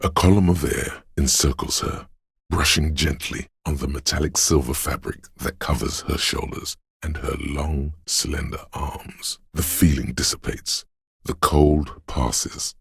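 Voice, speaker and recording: An actor voicing a brooding, poetic inner sense of a haunted city in solemn, measured, atmospheric game narration, very deep and gravelly. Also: male voice, fairly even intonation, a bit bright, no echo, studio quality, heavily acted. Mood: solemn, eerie.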